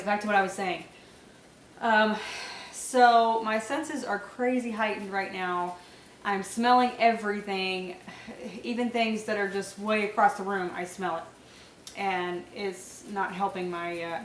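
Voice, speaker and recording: A woman's voice: medium pitch, roomy sound, distant mic, slight background noise, low-quality recording. A young woman with a stuffy, nasal voice from a cold, chatting casually in a vlog with lots of fillers and small laughs. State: congested, nasal, sore throat. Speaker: vlogger. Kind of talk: casual pregnancy update vlog.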